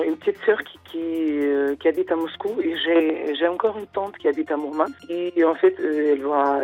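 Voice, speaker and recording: A woman, a guest in a radio interview, speaking earnestly and haltingly with many restarts. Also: deep voice, breathy edge, phone-quality sound. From Russia. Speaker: radio guest, former volleyball coach.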